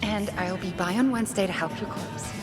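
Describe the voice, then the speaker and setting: A woman's voice: mid-range voice, brisk, loud music behind the voice, lightly acted. Actress as proud, flustered young mother. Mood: fond, reluctant. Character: troubled, flaky young mother, proud and defensive. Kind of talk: naturalistic video game acting.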